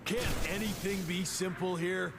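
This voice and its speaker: A man with an American accent playing a gruff, sarcastic, impatient field agent who hunts monsters in a Wild West video game. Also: high voice, steady tone, a little slow, over music. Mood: dry, sardonic. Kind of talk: video game character acting.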